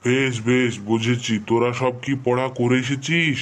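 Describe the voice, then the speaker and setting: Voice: medium pitch, steady tone, normal speed, natural voice. Someone doing a strict cartoon teacher guy, sarcastic, fed up, scolds his class. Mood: stern. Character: stern, exasperated male village schoolteacher. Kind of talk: cartoon comedy dialogue.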